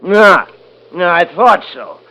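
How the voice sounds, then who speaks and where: An actor playing a domineering, scornful eldest-brother rancher in a 1950s radio drama, with folksy Western speech. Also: male voice, sits high, big pitch swings, slow, sharp tone, heavily acted, rough recording. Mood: harsh, mocking. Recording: hissy 1950s radio recording.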